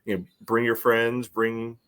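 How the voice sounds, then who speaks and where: A man co-hosting a sports podcast, chatting casually with halting phrasing full of filler words. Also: mid-range voice, level tone, a bit bright, smooth. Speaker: podcast co-host.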